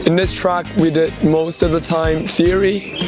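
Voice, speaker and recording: A man's voice: on the high side, flat delivery, a little slow. A young man from China with a Chinese accent giving a testimonial as an exchange student. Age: young adult. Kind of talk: interview testimonial. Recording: music playing behind the voice. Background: music.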